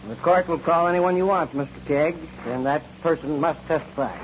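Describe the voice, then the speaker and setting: A man's voice: a little high, normal speed, close to an everyday voice. An actor playing a stern male judge, formal and authoritative, in 1940s radio style. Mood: formal, measured. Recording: dull, noisy 1940s radio recording.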